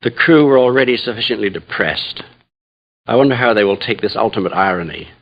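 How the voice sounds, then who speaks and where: A low, gravelly male voice with a husky edge as a troubled Jesuit scientist in deep space. He speaks in solemn, measured reflection, like a confession in a radio drama. The recording is slightly dull. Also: animated. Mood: somber. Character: troubled, grave Jesuit astrophysicist priest aboard a starship. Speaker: dramatic narrator. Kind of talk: first-person radio drama monologue.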